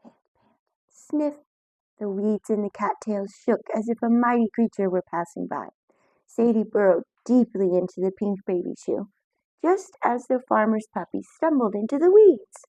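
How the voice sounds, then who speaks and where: A woman reading a children's picture book aloud, lively and expressive, with voices for the characters. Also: medium pitch, normal speed, dry recording, poor audio. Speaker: storyteller.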